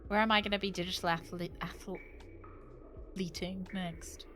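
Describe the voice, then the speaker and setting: A woman's voice: fairly low pitch, steady tone, moderate pace, a bit bright, a little hiss or hum. A woman giving casual live commentary as she plays a game, with jokey, reactive asides. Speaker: livestreamer playing a horror adventure game.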